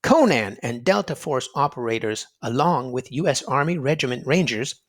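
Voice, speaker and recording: A man's voice: medium pitch, animated, normal speed. A man reading a children's nonfiction storybook aloud, explaining emphatically to young listeners. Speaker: children's read-aloud narrator.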